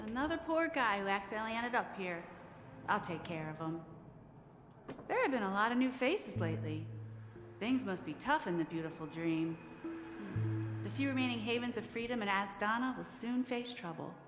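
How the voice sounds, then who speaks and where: A woman's voice: medium pitch, animated, lightly acted. Composed, echoing woman host an actor's role. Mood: composed, concerned. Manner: polite, unhurried, composed. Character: composed, welcoming, worldly woman who tends to strangers. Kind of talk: video game voice acting. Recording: long echoing reverb with game music.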